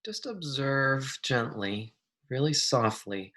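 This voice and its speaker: Gentle, unhurried meditation guide. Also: medium pitch, very animated. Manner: gentle, soothing, unhurried instruction with long pauses.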